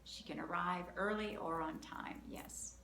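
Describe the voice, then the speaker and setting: A woman's voice: lowish voice, a little slow, vocal fry, pushed and bright. Upbeat woman talking through a healing session. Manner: counts through options aloud, upbeat and chatty. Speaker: emotion code practitioner and host. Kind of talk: live energy-healing demonstration, talking through steps. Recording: roomy, slight background noise.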